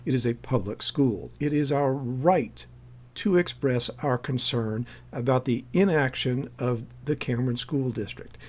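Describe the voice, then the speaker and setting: American male commentator, medium pitch, pointed and emphatic, argumentative rebuttal delivery. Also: measured pace. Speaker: activist commentator giving a response.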